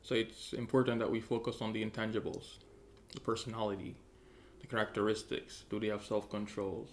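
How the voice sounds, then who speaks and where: Calm low-key man from Jamaica. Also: brisk, a little hiss or hum. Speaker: co-host. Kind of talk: casual advice chat.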